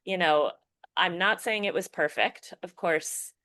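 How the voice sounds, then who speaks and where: A woman speaks in a fairly low voice, recorded close and dry with no room sound. She is a filmmaker guest on a podcast, reflective in tone, circling back with restarts and "you know" as she works through her thoughts. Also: measured pace, bright, forceful tone, dry recording. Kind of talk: casual podcast interview.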